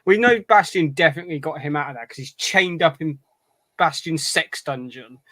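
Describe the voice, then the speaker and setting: A man co-hosting a podcast in casual chat, thinking aloud haltingly and repeating his words. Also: fairly high pitch, animated, bright, forceful tone, dry recording. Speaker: podcast co-host.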